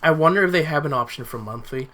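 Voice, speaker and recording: Guy on a podcast with a bright voice, kinda rambling and halting, just telling stories casually. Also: fairly high pitch. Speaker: podcast host. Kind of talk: casual anecdotal chat.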